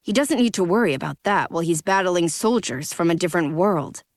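A woman voicing a calm, protective young male warrior who speaks quietly and flatly. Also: fairly low pitch, a little fast, no room sound, clean studio sound.

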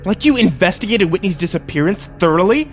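A man's voice: medium pitch, animated, a little slow, darkish tone. A man playing a teenage sheriff's son in a dramatic story, bitter, anguished and intense. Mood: bitter, accusing. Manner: intense, accusing. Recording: music behind.

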